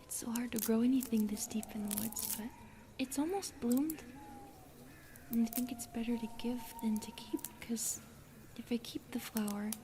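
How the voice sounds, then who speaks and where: Girl doing a bashful forest spirit lady, highish soft whispery voice, hesitant and sorry about everything, fantasy roleplay, bit of background ambience. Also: background noise, natural voice. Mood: bashful, tender. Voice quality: soft, breathy, often dropping into a whisper. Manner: hesitant, self-effacing, apologetic, halting. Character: shy, gentle female forest spirit, keeper of dark secrets. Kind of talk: interactive fantasy audio roleplay addressed to the listener.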